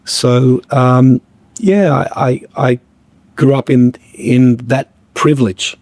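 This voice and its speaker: Man, slightly croaky, mellow tone, dry close sound, reflective guest who stops and restarts a lot. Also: mid-range voice, little pitch movement, no echo. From Australia. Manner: halting, many fillers and restarts. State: a bit croaky. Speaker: guest musician. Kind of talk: reflective podcast conversation.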